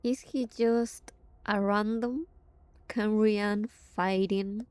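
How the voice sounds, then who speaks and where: Very slow, musing female game streamer. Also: medium pitch, steady tone, a little mellow, clean tone, dry recording. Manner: halting, musing. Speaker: gaming streamer reacting to game story. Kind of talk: casual live reaction commentary.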